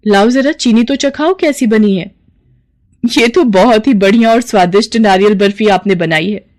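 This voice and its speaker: A woman voicing a devoted, gently encouraging wife in a cartoon story. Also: medium pitch, moderate pace, lightly acted. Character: devoted, encouraging wife who makes sweets.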